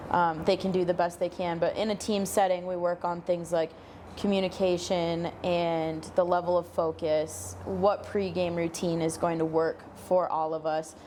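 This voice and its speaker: Young woman, kinda low voice, explaining stuff clearly as an interview guest, bit of noise behind her. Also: flat delivery, moderate pace, warm tone. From Minnesota, United States. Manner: articulate, illustrates with quoted examples. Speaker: guest, sports psychology coach.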